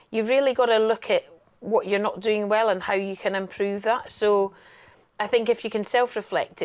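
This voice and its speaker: Encouraging woman with lowish voice. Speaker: air traffic control instructor. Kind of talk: conversational panel interview.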